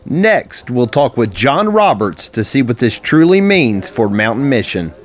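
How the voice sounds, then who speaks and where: A man's voice: mid-range voice, big pitch swings, measured pace, soft background music. A man narrating a kayak fishing tournament in an easygoing, folksy way. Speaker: host and narrator of tournament video. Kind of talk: casual narration and chat.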